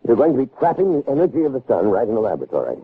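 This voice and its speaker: Casting: insistent scientist father, middle-aged, very lively intonation, raspy edge, vintage radio play on a narrow band. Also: medium pitch, very fast. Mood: assured, persuasive. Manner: emphatic, authoritative lecturing. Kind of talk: 1960s radio drama acting. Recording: narrow, phone-like old radio recording.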